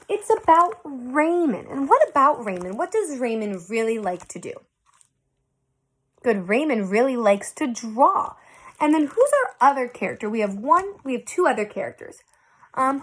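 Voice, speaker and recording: A woman reading a picture book aloud as a teacher, in a deliberate, expressive way meant for young children. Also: fairly high pitch. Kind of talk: expressive storybook read-aloud with questions to children.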